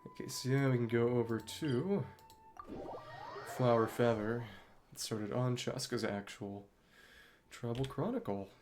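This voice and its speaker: A man's voice: medium pitch, unhurried, pauses often, darkish tone. Man musing over game music. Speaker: video game streamer. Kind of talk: casual gameplay commentary, thinking aloud. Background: video game music.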